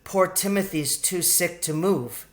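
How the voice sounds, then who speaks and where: A gentle mother mouse played by a man speaks with a lifted pitch. She sounds polite, soft and anxious, like a storybook character full of worry. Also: unhurried. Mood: anxious, sad. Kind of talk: storybook character voice in an audiobook reading.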